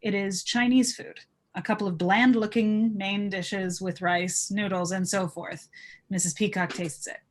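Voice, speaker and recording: Casting: female narrator for an informal script read, medium pitch, reading out stage directions. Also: fairly even intonation, measured pace. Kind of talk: scripted narration in a casual live table read.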